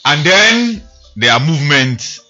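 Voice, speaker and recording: Emphatic man, bright forceful voice. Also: a little high, sing-song, unhurried. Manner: emphatic, argumentative. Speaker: host. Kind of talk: studio discussion. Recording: dull lo-fi sound with faint music.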